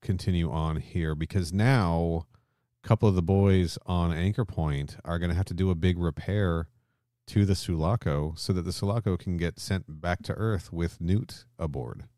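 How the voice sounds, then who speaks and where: A man's voice: low voice, normal speed, warm tone, dry recording, clean studio sound. A man co-hosting a podcast in a casual, jokey, bantering conversational style. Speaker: podcast host. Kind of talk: casual film and comic discussion chat.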